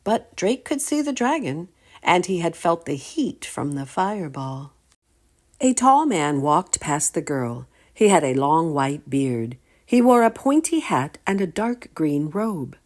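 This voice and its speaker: A woman with a low voice reads a children's story aloud. Her delivery is slow and deliberate, with lively, expressive swings in pitch. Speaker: storytime reader. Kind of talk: children's story read-aloud with questions to listeners.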